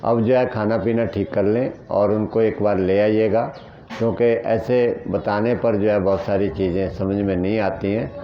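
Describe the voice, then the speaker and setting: A man's voice: fairly low pitch, steady tone, moderate pace, lo-fi sound. Earnest man teaching a natural health group. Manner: earnest, explaining plainly with rhetorical questions. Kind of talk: teaching talk answering questions.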